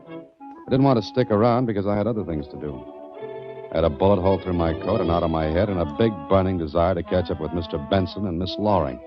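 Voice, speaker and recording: Man playing a deep-voiced, wisecracking radio sleuth. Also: lightly acted. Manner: wisecracking, hard-boiled delivery. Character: wry, tough male amateur sleuth, brash and dogged. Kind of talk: 1940s radio detective acting and narration. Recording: muffled 1940s radio recording.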